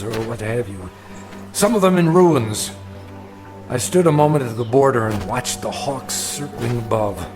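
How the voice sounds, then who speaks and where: Haunted older gentleman, animated delivery. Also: fairly high pitch. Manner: formal, precise, deliberate. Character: haunted older gentleman recounting his youth, grave, insistent. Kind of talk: dramatic radio storytelling. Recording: music under the voice. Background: dramatic music.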